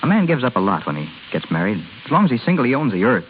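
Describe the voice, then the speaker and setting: Actor as fast, swooping proud young husband. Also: male voice, mid-range voice, very animated, lightly acted. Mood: frustrated, defiant. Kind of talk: 1930s radio drama acting. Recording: hissy, tinny old radio recording.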